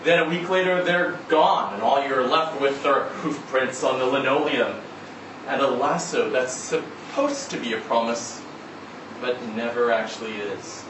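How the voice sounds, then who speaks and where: A man reading a literary short story aloud at a live event in a measured, expressive way. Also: medium pitch. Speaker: story reader. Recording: roomy, distant, noisy live event recording.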